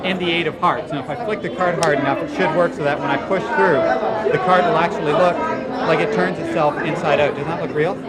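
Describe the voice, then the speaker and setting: A man's voice: on the high side, steady tone, a little fast. A man doing a card trick, keeping up a chatty patter with fillers. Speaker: magician. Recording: loud music and crowd at an event.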